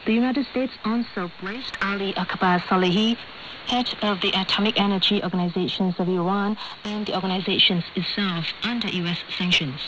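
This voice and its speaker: Over a shortwave broadcast with faint noise, a woman reads the news. Her pitch sits in the middle range for a woman. She delivers scripted copy in the role of a radio newsreader. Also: steady tone, fairly quick, fairly bright tone, low-quality recording.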